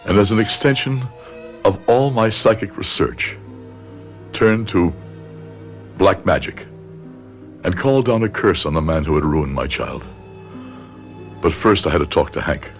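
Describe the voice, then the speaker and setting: A man's voice: low-pitched, lively intonation, a little slow, long pauses, soft background music. An actor playing a bitter, grieving middle-aged father with a grave, dramatic manner in a radio drama. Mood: bitter rage. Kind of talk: radio drama acting with first-person narration.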